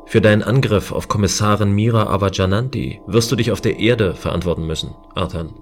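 An actor playing a stern, distrustful guardian of a remote space station, speaking formally in a science fiction audio drama. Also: male voice, lowish voice, a little fast, soft background music, natural voice. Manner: formal, warning.

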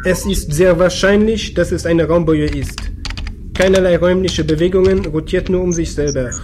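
A man playing a spaceship pilot in a sci-fi radio drama, with a fairly high voice for a man. He reports in exact, technical terms and stays factual. Clear ambient noise surrounds him. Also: fairly even intonation, moderate pace, a little mellow, natural voice. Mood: focused, alert. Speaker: voice actor in a sci-fi radio play.

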